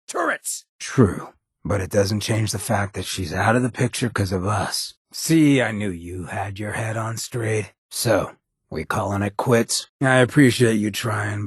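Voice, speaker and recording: An actor playing a roguish ghoul mayor in a video game, a swaggering former drifter with a very raspy, gravelly voice and a cocky, streetwise drawl. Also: male voice, on the low side, no room sound, clean studio sound, exaggerated voice. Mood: combative, then earnest, subdued. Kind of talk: video game companion dialogue.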